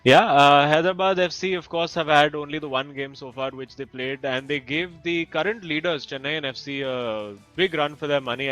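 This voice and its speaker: A man's voice: a little high, fairly bright tone, clean tone, muffled recording. A man giving live pre-match analysis as an esports commentator.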